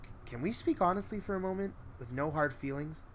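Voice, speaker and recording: A man's voice: fairly high pitch, lively intonation, a little fast, pitched above the performer's own voice. Man acting a weary, hesitant husband, noisy recording. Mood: gently pleading. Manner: hesitant, soft-spoken. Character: weary, placating husband trying to console his wife. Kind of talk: dramatic horror story reading.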